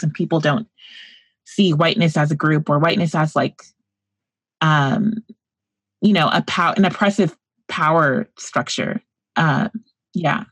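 Podcast guest, young woman from Florida, low voice, dry close sound, relaxed and filler-heavy. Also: breathy edge, no echo. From Central Florida, United States. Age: young adult. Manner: relaxed, lots of "like" and "um", backchannels often. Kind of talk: casual conversational interview.

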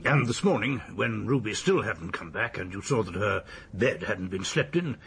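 A man's voice: on the low side, expressive, moderate pace, gravelly, a bit bright, a little hiss or hum. An actor playing a courteous, methodical English police detective in a radio drama, polite and probing as he questions.

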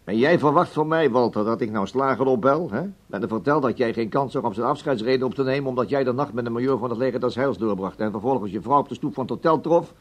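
An actor playing an officious, sceptical boss in a Dutch radio play, pompous and full of hems and interjections. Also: male voice, medium pitch, fast talker, hardly any pauses, close to an everyday voice. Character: officious, sceptical male boss questioning an employee.

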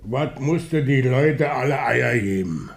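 Grumpy, sluggish husband, slightly noisy recording. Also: male voice, medium pitch, lively intonation, takes it slowly, vocal fry. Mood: grumbling. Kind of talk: naturalistic German radio play acting.

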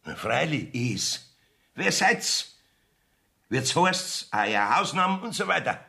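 Gravelly, swooping Bavarian village judge. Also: male voice, fairly high pitch, sing-song, takes it slowly, sharp tone, heavily acted. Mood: gruff, officious. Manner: folksy, bossy, theatrical. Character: blustering, evasive rustic Bavarian village judge. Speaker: actor in a radio play. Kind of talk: 1970s dialect radio play acting.

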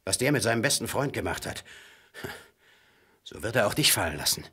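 Radio drama villain: threatening, coaxing crook, very raspy, harsh forceful edge. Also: male voice, on the low side, sing-song, a little fast, heavily acted. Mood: pressing, conspiratorial. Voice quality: husky, raspy. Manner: coaxing, threatening, swinging from murmurs to sharp bursts. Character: menacing male crook pressing a woman to betray her lover.

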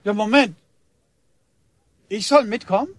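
A man's voice: high voice, measured pace. A man acting as a flustered, dutiful village policeman in a radio drama, hesitant and indignant in an official way.

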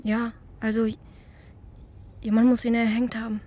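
A woman's voice: on the high side, monotone, normal speed, warm tone, natural voice. A woman playing a chatty, dreamy schoolgirl in her early teens in a naturalistic German radio drama, speaking colloquially and searching for words. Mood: hesitant, sympathetic. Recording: outdoor ambience with crickets, clear background noise.

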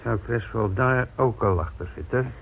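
A man's voice: mid-range voice, moderate pace, vocal fry, a little hiss or hum, lightly acted. An actor in a Dutch radio play, playing a stubborn, sardonic male police inspector with a dry, probing, ironic way of talking. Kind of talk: Dutch radio drama acting. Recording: dull, band-limited old radio recording.

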